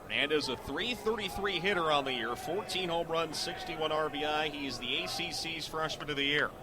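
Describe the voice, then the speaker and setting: A middle-aged man calling baseball live on the radio as play-by-play announcer, in clipped descriptive phrases that rise into excited calls. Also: on the high side, brisk. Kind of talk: live radio sports commentary.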